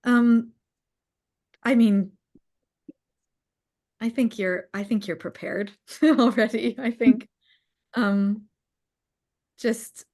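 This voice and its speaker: A woman in casual, reflective conversation on a live online call, answering questions in a halting, thoughtful way as she searches for words. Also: fairly high pitch, steady tone, darkish tone. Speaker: co-creator hosting a live audience call.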